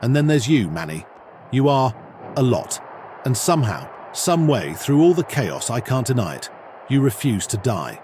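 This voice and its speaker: A man's voice: mid-range voice, big pitch swings, moderate pace, a little mellow, slight background noise, close to an everyday voice. Man as a laid-back wrestling brand boss. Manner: laid-back drawl, slangy, blunt. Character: swaggering male wrestling brand boss, blunt and commanding. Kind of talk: wrestling promo acting.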